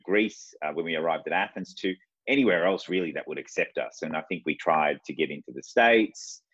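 An Australian man in his forties, a guest telling his life story in an unhurried, reflective way. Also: rumbling low, a bit bright, husky, no echo. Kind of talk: casual interview talk. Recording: dull lo-fi sound.

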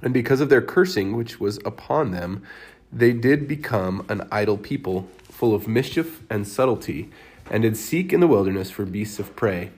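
A man with a fairly low voice reads scripture aloud at home. His delivery is even and measured, and he keeps a plain reading tone throughout. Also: husky.

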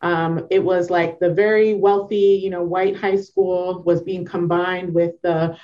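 A woman's voice: lowish voice, steady tone, a little slow, lo-fi sound, a little hiss or hum. A woman from Northern Virginia with an American accent, telling her story informally and reflectively as an interview guest. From Northern Virginia, United States. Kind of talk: conversational personal storytelling.

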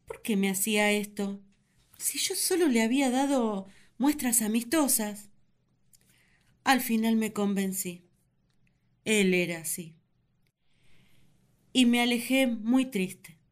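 A woman's voice: on the high side, slow, pauses often. An actress playing an earnest, lovesick first woman who confides her feelings in a sentimental radio drama monologue. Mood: sad, hurt.

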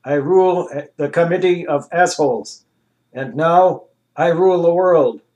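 A man doing a booming, pompous talking anus tyrant. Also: darkish tone, lo-fi sound, lightly acted. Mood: grandiose. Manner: grandiose, slow, commanding declarations. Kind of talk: comic storybook reading.

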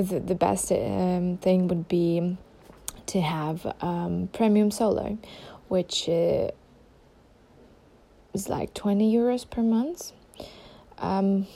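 Young woman, podcast host, gravelly low voice, mellow tone, rambling casual chat, restarts. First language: not German. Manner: rambling with many ums and restarts.

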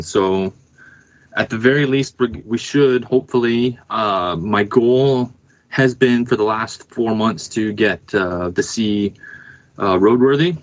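A man speaking as a musician guest in a casual interview, thinking aloud in a halting way. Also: medium pitch, lo-fi sound.

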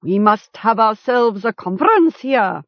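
A woman's voice: high voice, big pitch swings, takes it slowly, no room sound, muffled recording, pitched above the performer's own voice, full character voice. A woman voicing a mocking male chieftain in a storybook, theatrical and accusatory. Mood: mock courtesy. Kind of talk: storybook character acting.

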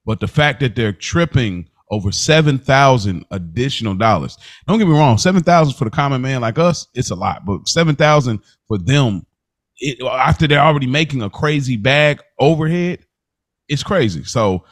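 A man's voice: medium pitch, animated, normal speed, no room sound, studio quality. A man co-hosting a podcast, recapping television in casual, slangy, amused conversation. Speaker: podcast co-host.

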